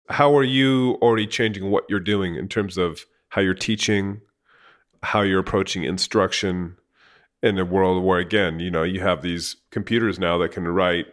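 A man's voice: low voice, fairly bright tone. A man in his forties hosting an interview, asking long, casual questions full of fillers and restarts. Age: 40s. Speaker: podcast host. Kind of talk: conversational interview.

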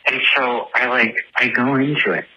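A man's voice: medium pitch, fairly even intonation, moderate pace, pushed and bright, husky. A man leaving a casual voice message for a show, telling a story in a chatty, dramatic, self-deprecating, motormouth way on a phone-like recording. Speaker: listener calling in with a voice message.

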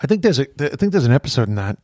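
A man's voice: mid-range voice, sing-song, rapid-fire, mellow, no echo, clean studio sound. Stammering American man in his forties. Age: 40s. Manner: rambling, stammering restarts. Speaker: podcast host. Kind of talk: casual podcast chat.

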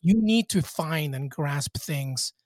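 A man's voice: fairly high pitch, lively intonation, slow pace, dry recording, clean studio sound. A man from Peru, a guest on a podcast, talking reflectively in casual chat with many fillers and restarts.

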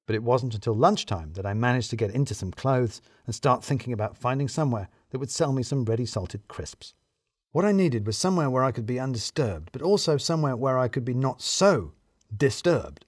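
A man narrating a scripted first-person comic story in a wry, theatrically self-pitying way with deliberate emphasis. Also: medium pitch, no room sound, clean studio sound.

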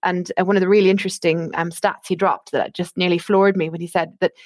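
Chatty Irish woman, lowish voice. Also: level tone, fast, no room sound. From Ireland. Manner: chatty, with frequent fillers and restarts. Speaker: guest, medical marketing manager. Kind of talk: conversational interview.

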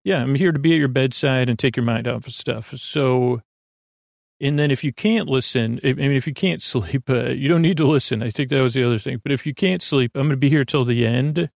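A man hosting a bedtime podcast in a meandering, halting monologue, repeating himself and trailing off. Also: medium pitch, vocal fry, darkish tone, dry recording, studio quality. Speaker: sleep podcast host.

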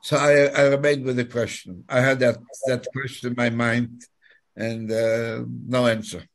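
A man in his nineties from Poland, with a Polish accent, giving personal testimony in a halting way, often repeating words and phrases. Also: mid-range voice, flat delivery. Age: 90s. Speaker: guest, Holocaust survivor telling his story.

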